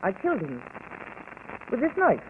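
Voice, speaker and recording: An actress playing a deranged, murderous wife in a dramatic, dazed manner for a 1940s radio mystery. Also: female voice, medium pitch, huge pitch swings, normal speed. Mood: dazed, eerily calm. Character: deranged murderous wife, eerily calm, breaking into hysteria. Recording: tinny, noisy old 1940s radio broadcast.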